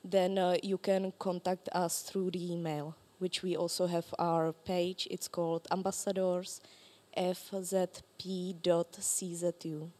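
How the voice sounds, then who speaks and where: A woman in her twenties, a student ambassador, speaking quickly and eagerly on a panel. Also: fairly low pitch, flat delivery, dark tone. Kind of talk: panel talk.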